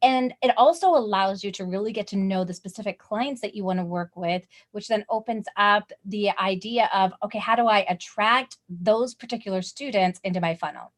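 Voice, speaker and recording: A woman's voice: mid-range voice, moderate pace, hardly any pauses. A woman hosting an online tutoring masterclass, bubbly and gushing, with lots of "like" fillers. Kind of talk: enthusiastic webinar hosting.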